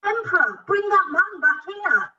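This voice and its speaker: A woman's voice: very high voice, big pitch swings, a little slow, sharp tone, far from the mic, dull audio, exaggerated voice. A woman playing a haughty, nagging empress in a rhyming pantomime. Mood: demanding. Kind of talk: rhyming amateur pantomime acting.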